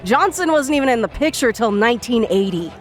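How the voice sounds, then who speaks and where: A woman's voice: fairly high pitch, lively intonation, a little fast, soft background music, lightly acted. An actress playing a scornful, foul-mouthed young metalhead woman in an audio drama, biting and sarcastic. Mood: incredulous scorn.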